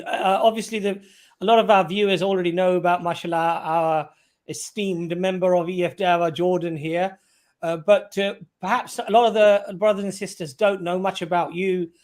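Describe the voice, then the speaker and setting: Male livestream host, casual and rambling, heavy on fillers, slightly raised pitch. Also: a little fast, darkish tone.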